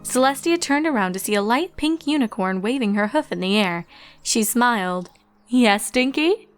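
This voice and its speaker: A woman narrates a story in a mid-pitched voice. Her delivery is scripted and expressive, in the manner of a fiction reading, with music playing behind her. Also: normal speed, clean tone, no echo. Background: background music.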